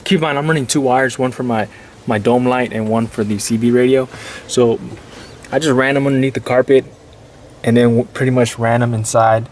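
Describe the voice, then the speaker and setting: A man giving a casual, unscripted how-to in an informal, halting, repetitive way, like a hobbyist vlogger. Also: mid-range voice, fairly even intonation, darkish tone, slight background noise. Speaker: vlogger showing a DIY car install. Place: in and around a Jeep.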